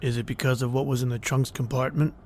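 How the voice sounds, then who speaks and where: An actor playing a menacing, brusque killer on the run in an old-time radio crime drama, speaking tersely. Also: male voice, mid-range voice, fast talker, slight background noise, natural voice. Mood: cold menace. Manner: terse, flat threats in casual tough-guy phrasing.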